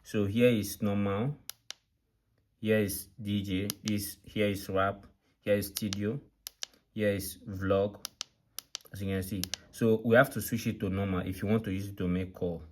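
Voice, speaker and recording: A man's voice: on the low side, little pitch movement, measured pace, darkish tone, a little hiss or hum. A man presenting an unscripted product demonstration, explaining step by step with repetition and often checking that viewers follow. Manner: frequently checks understanding with short questions. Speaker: tutorial presenter.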